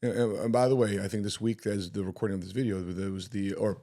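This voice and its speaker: A man's voice: lowish voice, fairly even intonation, fast, warm tone. A man who grew up in Chicago, hosting an interview, asking questions with many restarts and frequent laughs. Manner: stammers on restarts, laughs often. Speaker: podcast host. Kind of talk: casual interview.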